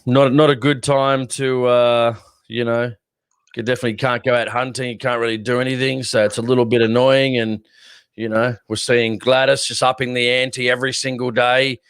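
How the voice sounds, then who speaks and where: A man's voice: medium pitch, flat delivery, moderate pace, a bit bright, clear, resonant tone, no echo. An Australian man co-hosting a casual live stream. He talks bluntly and sarcastically and swears freely. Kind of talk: casual opinionated chat.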